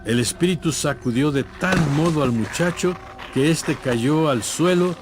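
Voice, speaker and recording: A man narrating a scripted scripture story in a measured storytelling manner. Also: fairly high pitch, background noise.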